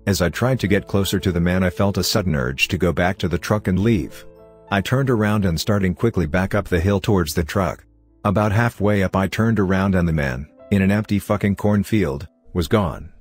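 A man with a very deep voice narrates horror stories in a steady, measured way. Soft music plays faintly underneath him. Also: slightly rough.

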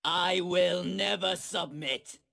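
An actor playing a stern, loyal ninja warrior who speaks in formal, terse, earnest sentences. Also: male voice, high-pitched, steady tone, slow pace, creaky, over music, heavily acted. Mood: angry, demanding.

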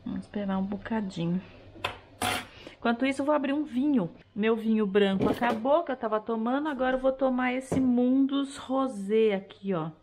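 A middle-aged woman with a Brazilian Portuguese accent chats to her viewers while she cooks in her home kitchen, checking in with them after every step. Her voice sits on the higher side. A faint hiss runs under the head-mounted camera's sound. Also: moderate pace. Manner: chatty, explains each step, many tag questions. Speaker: cooking vlogger. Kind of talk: casual cooking chat to camera.

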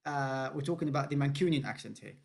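Gravelly-voiced chatty man host. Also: medium pitch, quick pace. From Syria. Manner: chatty, animated, many restarts and laughs. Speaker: podcast host. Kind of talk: casual interview chat.

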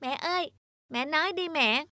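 A woman's voice: high voice, normal speed, sharp tone, dry recording, studio quality, pitched above the performer's own voice. A woman voicing a small, brave, anxious young raindrop boy in a cartoon, earnest and exclamatory. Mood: anxious pleading.

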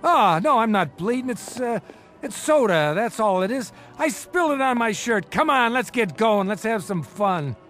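A man's voice: sits high, big pitch swings, moderate pace, fairly bright tone, soft background music. An American man playing a guilt-ridden, weak small-time con man father in a radio drama, emotional, hesitant and pleading. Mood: reassuring, playful.

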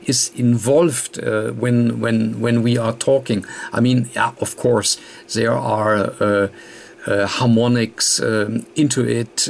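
Very slow, halting man explaining technical material. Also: medium pitch, creaky. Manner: very halting, hesitant delivery with frequent long filled pauses. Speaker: tutorial presenter. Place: indoors at an electronics workbench.

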